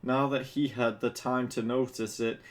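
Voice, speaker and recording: A man's voice: medium pitch, level tone, normal speed. A man who sounds tipsy, reading fan fiction aloud casually and comedically, stumbling over words and breaking off to mock the text with sweary asides. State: drunk. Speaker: fan fiction reader and commentator.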